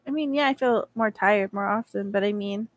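A woman's voice: mid-range voice, normal speed, slight background noise. Teasing, giggly woman in her twenties. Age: 20s. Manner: teasing, giggly, asks the questions. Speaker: podcast co-host. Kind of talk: casual chat.

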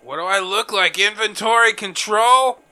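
A man's voice: high-pitched, moderate pace, pushed and bright. A man playing a tabletop game, bantering in a sarcastic, foul-mouthed way.

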